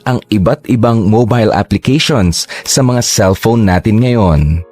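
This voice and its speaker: A man's voice: on the low side, measured pace, a little mellow. A man giving confessional first-person narration for a drama, moving easily between Tagalog and English phrases. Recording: faint music underneath.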